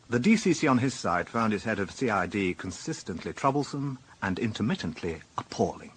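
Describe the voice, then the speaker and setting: An actor playing a wry British police inspector who narrates a radio drama in a dry, reflective way. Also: male voice, lowish voice, breathy edge, low-quality recording. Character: wry male police inspector narrating his own case.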